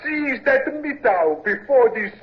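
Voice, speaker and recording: An actor playing a captured French major of engineers with a French accent, boastful and proud, in an old radio drama. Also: male voice, extremely high pitch, very animated, unhurried, slight background noise, exaggerated voice. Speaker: French officer. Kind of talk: 1950s radio drama acting. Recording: muffled, phone-like old radio transcription.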